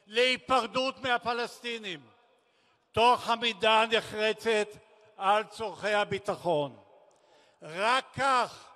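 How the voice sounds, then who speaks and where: A middle-aged man from Israel delivers a formal political speech at a memorial rally, solemn and declamatory, in deliberate phrases with emphatic pauses. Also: sits high, pushed and bright. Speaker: speaker at a memorial rally, former military commander. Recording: large reverberant public-address sound.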